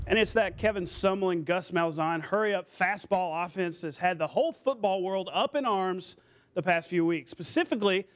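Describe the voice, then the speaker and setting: An American man anchoring a TV sportscast, calling highlights in a playful, colloquial, punchy way. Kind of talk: scripted sportscast with highlight commentary.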